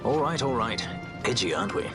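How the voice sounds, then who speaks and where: An actor playing a suave, wry young sky pirate in a video game, with an urbane, teasing, unhurried manner. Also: male voice, medium pitch, animated, close to an everyday voice. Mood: glib, unruffled. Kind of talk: polished video game voice acting. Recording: music behind the voice.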